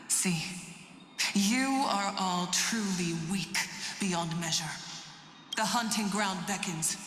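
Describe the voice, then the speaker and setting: A woman's voice: medium pitch, extremely slow, long pauses. An actress playing a proud, defiant warrior woman who speaks in a grand, solemn, declamatory way for a fantasy game. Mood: mocking, defiant. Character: proud, defiant mortal warrior woman, scornful of her people. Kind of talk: dramatic fantasy game acting. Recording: echoing hall reverb under loud music.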